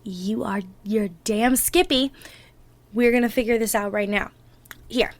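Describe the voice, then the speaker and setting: A woman's voice: fairly high pitch, steady tone, unhurried, lightly acted. A woman playing an affectionate, playfully bossy girlfriend in a one-sided ASMR roleplay, chatting and thinking aloud as she bakes. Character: bubbly, bossy, affectionate young girlfriend baking for Thanksgiving. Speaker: roleplay audio performer.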